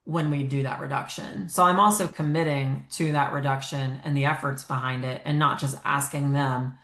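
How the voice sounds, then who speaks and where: Deep-voiced woman host in echoey room. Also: fairly even intonation, normal speed, fairly bright tone. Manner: conversational, thinking aloud with fillers. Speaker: podcast host. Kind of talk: podcast interview.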